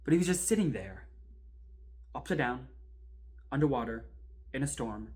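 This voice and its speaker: A man performs a talkative kayak rescuer telling a horror story as if to a friend. He is wry and informal, halting for suspense as he recounts a strange ordeal in a drama monologue. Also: medium pitch, expressive, darkish tone, lightly acted. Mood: hushed dread. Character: chatty kayak rescuer recounting an eerie near-drowning.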